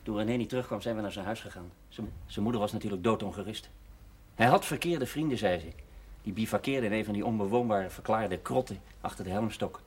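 A husky, low-voiced village lawman. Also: fast talker. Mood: matter-of-fact. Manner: matter-of-fact, stern. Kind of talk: naturalistic television drama dialogue.

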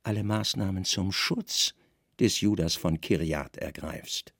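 A man playing a brooding, commanding Roman governor in a radio play, speaking with measured authority and pointed emphasis. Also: low-pitched, a bit bright, breathy edge, no echo, studio quality, heavily acted. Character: brooding Roman governor, commanding, wry, insistent on his hunches.

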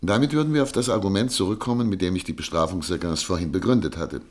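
An actor playing an eloquent, unyielding public prosecutor in a German radio play, with emphatic, formal argument. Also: male voice, deep voice, quick pace, natural voice. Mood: assured, insistent.

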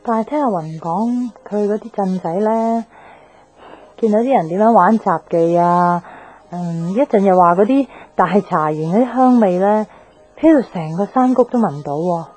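A woman's voice: mid-range voice, moderate pace, darkish tone, natural voice. An actress playing a restless young woman in a radio drama, talking in an insistent, explaining way. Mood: wistful, insistent. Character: restless young woman friend craving a new life. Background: faint background music.

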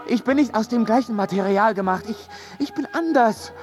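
An actor playing a deluded, fearful man who believes he is made of glass, speaking in halting, theatrical radio drama style with anguished repetitions. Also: sits high, over music, lightly acted. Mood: panicked.

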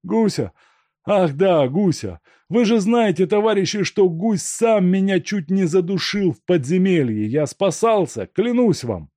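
A man's voice: sits high, expressive, a little slow, mellow, no echo, clean studio sound, voice pushed up. A man reading an audiobook and voicing a captured male robber who begs and threatens in a strained, raised voice. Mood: pleading. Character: desperate captured male robber, pleading then menacing. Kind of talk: audiobook character voice.

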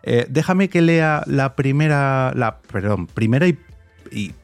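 A man's voice: medium pitch, measured pace, gravelly, no room sound, faint music behind. Middle-aged Spanish man hosting a podcast, close dry sound. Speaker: podcast host. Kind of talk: conversational podcast presenting and interviewing.

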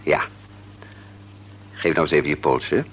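An actor playing a calm, kindly family doctor in a Dutch radio play, with a reassuring, businesslike bedside manner. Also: male voice, a bass voice, a little slow. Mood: kindly coaxing. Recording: noisy old radio play recording.

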